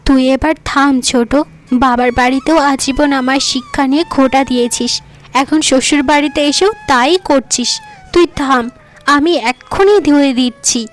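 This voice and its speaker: A woman voicing a kind, hardworking young wife in a cartoon, speaking patiently and softly. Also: sits high, normal speed, faint music behind, close to an everyday voice.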